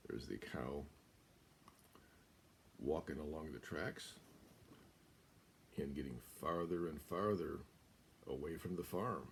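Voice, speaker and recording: An older American man reading a children's picture book aloud, deliberate and expressive, with pauses. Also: rumbling low, creaky, background noise. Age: older, about 70s. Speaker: guest storyteller. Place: small room at home, indoors.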